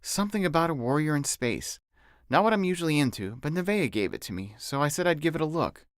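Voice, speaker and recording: A man's voice: on the high side, animated, fast talker, no echo, studio quality, voice pushed up, close to an everyday voice. A man voicing a kind, thoughtful twelve-year-old boy in an audiobook, talking casually and a little hesitantly. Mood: casual, matter-of-fact.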